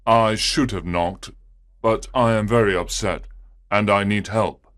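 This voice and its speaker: Rich: A troubled husband from a storybook, earnest and anxious as he lays out his story, as a man reads him. His voice sits fairly low and the sound is close and dry. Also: steady tone, unhurried, a bit bright, dry recording. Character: troubled, upset married businessman.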